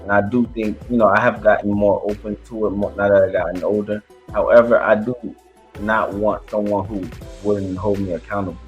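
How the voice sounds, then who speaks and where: Low-voiced man giving emphatic commentary. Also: fairly even intonation, brisk, breathy edge, faint music behind. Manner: emphatic, preachy, repeats himself. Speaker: reaction channel host. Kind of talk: casual commentary on a podcast clip.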